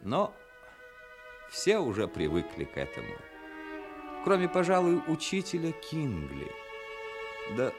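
Male narrator, low pitch, lively intonation, measured literary storytelling, 1960s radio drama, faint music behind.

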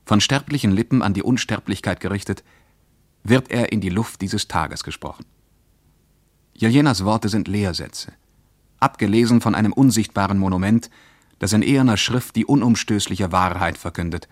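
An actor plays a sceptical, pensive male traveller reading his diary in a radio play. The voice is very deep and dryly recorded, with a solemn literary delivery. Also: normal speed, no room sound, studio quality, natural voice. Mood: solemn, ironic.

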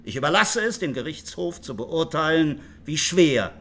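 High-voiced, argumentative prosecutor. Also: male voice, sing-song, moderate pace, vocal fry, a bit bright, a little hiss or hum, exaggerated voice. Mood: insistent. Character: punctilious, argumentative public prosecutor addressing the court. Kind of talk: radio play courtroom speech.

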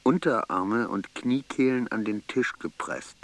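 An actor playing a somber man who recounts an intimate memory in terse, measured fragments in a literary radio play. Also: on the low side, noisy background. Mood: tense intimacy. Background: soft plucked guitar music.

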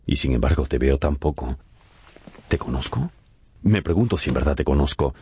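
A man's voice: a bass voice, animated, normal speed, darkish tone, slightly rough, heavily acted. An actor playing an earnest, anxious painter troubled over his friend's morals, solemn and pleading, in a radio drama. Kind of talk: Spanish-language radio drama acting.

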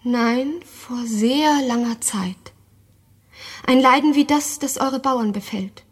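An actress playing an enigmatic young noblewoman in a radio drama, cryptic and insistent, with a breathy, sometimes hushed voice. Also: a little high, takes it slowly. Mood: quiet, knowing. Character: Camilla, enigmatic young noblewoman with hidden ancient past. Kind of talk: scripted German radio drama acting.

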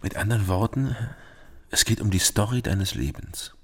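An actor playing a dogged East German private detective in a radio crime drama, gravelly, laconic and probing. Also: male voice, lowish voice, animated, moderate pace, a bit bright, full character voice.

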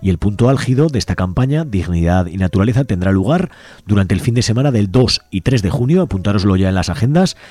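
A man with a deep, low voice reads out upcoming events on a community radio show. His delivery is easygoing and conversational, and he often ends his points with a questioning "right?". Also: fast talker. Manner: relaxed, conversational, tags lines with a questioning "right?". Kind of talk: casual radio announcements.

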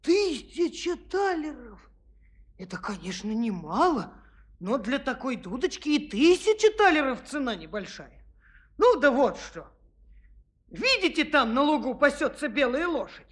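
A husky, lively shepherd lad. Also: male voice, very high voice, unhurried, exaggerated voice. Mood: shrewd, firm. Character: polite, shrewd young shepherd lad, calmly stubborn. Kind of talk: children's audio play acting.

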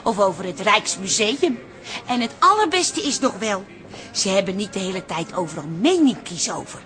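A woman's voice: medium pitch, big pitch swings, normal speed, fairly bright tone, soft background music. An actress playing an exasperated, cat-loving woman who is tender with animals in a radio play. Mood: wry, affectionate.

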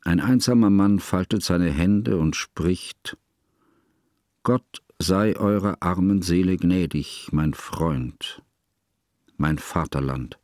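An actor playing a grieving elderly scholar who narrates a literary radio drama in a measured, formal, elegiac manner. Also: male voice, sits low, darkish tone, dry recording, clean studio sound. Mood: hushed prayer.

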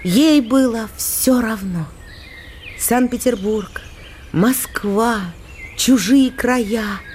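Slow-speaking, tender lovestruck young woman. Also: fairly high pitch, darkish tone, slight background noise. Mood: tender, restrained. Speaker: narrator and actress in a radio play. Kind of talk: slow dramatic literary radio reading. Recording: studio radio play with faint music.

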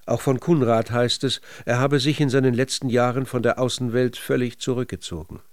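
A man narrating a literary radio documentary in a measured, sober manner. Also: mid-range voice, fairly even intonation, no room sound, clean studio sound.

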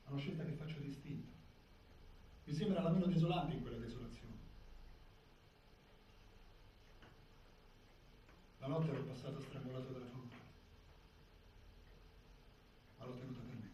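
A man's voice: medium pitch, quick pace, lightly acted. Guy playing a scared jailed man telling his story on stage, dull roomy sound, bit far off. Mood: anxious, desolate. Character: frightened, desolate man newly jailed, quietly recounting his ordeal. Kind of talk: theatrical first-person monologue. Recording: echoey, distant, dull, faint noise.

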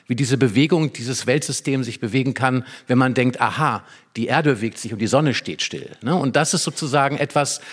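A man speaking as a theatre expert, explaining in a conversational public talk with little imagined exchanges. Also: mid-range voice. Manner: conversational, illustrates with imagined dialogue.

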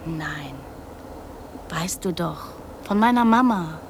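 A woman's voice: lowish voice, animated, slow pace, slight background noise. A woman playing a precocious, brazen young girl in a radio drama, speaking in blunt, childlike short sentences. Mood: quiet, confiding.

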